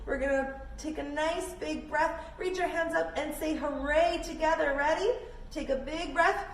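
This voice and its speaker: A woman's voice: sits high, normal speed. A woman from Canada guiding children through yoga poses step by step, with simple, encouraging instructions. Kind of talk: guided kids' yoga class following a story. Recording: echoey room, distant mic, background noise.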